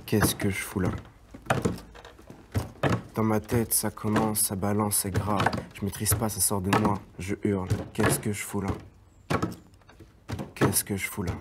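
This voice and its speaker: A man's voice: on the low side, flat delivery, measured pace, pauses often, very noisy, natural voice. An actor playing a young man born in France, performing a slam poem with punchy rhythm and street slang. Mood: brooding. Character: angry, restless young man full of pent-up rage. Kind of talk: performed slam poetry, film drama.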